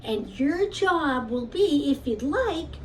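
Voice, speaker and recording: A woman's voice: sits high, expressive, takes it slowly. A woman teacher telling a children's puppet story, speaking directly to young listeners in simple phrases. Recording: distant mic with faint background noise.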